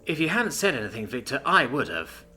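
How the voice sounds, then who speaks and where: A calm, knowing alien woman, read by a man who speaks briskly and matter-of-factly. The voice is bright and forceful, with faint music under the storybook narration. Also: medium pitch, breathy edge, full character voice.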